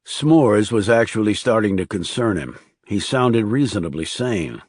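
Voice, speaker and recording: A man's voice: on the low side, lively intonation, measured pace, darkish tone, husky, dry recording, studio quality. A man reading scripted thriller narration as an audiobook narrator.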